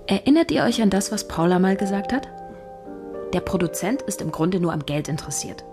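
A woman's voice: medium pitch, very animated, a little fast, natural voice. An actress playing an ambitious female scriptwriter, casual and persuasive in naturalistic radio drama dialogue. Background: music.

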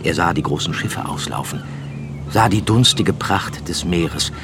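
A man narrating a literary radio drama in a measured storytelling manner, reading from a script. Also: sits low, noisy background. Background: music behind the voice.

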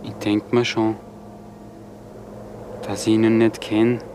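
An actor playing an inquisitive security guard, making unhurried small talk in a radio drama. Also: male voice, lowish voice, completely flat delivery, background noise. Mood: mildly curious.

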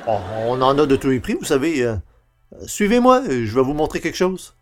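Male actor as eager young Quebec French shop clerk for radio comedy: highish voice, lively, courteous. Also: a little fast, faint music behind. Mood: eager, selling. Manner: deferential, salesman-like. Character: polite, eager younger male bookshop salesman.

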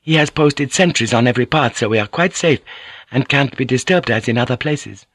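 A man voicing a courteous, gentle Japanese noblewoman in an audiobook, speaking softly and coaxingly. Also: mid-range voice, brisk, no echo, pitched above the performer's own voice. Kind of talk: audiobook character voice.

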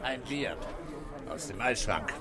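An actor playing a taciturn, gruff middle-aged police inspector in a German radio play, speaking tersely. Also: male voice, lowish voice, expressive, slow, pushed and bright, exaggerated voice. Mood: laconic.

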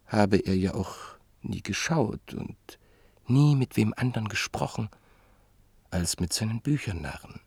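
A man narrating a literary radio drama in a measured storytelling manner. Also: lowish voice, creaky, darkish tone, slightly rough, no room sound. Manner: measured storytelling, reporting speech indirectly.

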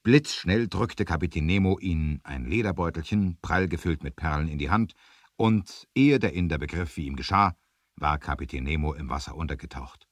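A male narrator with a very low voice tells an adventure story as scripted radio play narration. His delivery is dramatic, and the sound is close and dry. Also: moderate pace, no echo.

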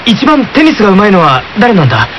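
A man's voice: high voice, wildly swooping pitch, fast talker, a bit bright, highs cut off, a little hiss or hum, full character voice. A man playing a wary, exasperated schoolboy who questions everything, in an anime radio drama. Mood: puzzled, exasperated. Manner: sceptical, questioning.

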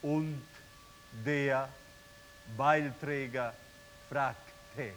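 A man playing a detached announcer who reads out numbered verses haltingly, word by word, in a hissy recording. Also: mid-range voice, steady tone, a bit bright. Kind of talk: slow announcement of numbers and text. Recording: hissy, long hall reverb, distant.

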